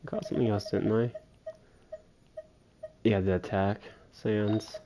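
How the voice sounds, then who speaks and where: Slow, mellow game streamer thinking aloud. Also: medium pitch, flat delivery. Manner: muttering to self, thinking aloud. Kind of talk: casual livestream gameplay commentary.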